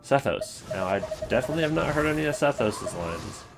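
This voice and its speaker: A man giving casual, opinionated video commentary on game voice lines, thinking aloud in halting, rambling sentences. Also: mid-range voice, sing-song, noisy background. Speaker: video host.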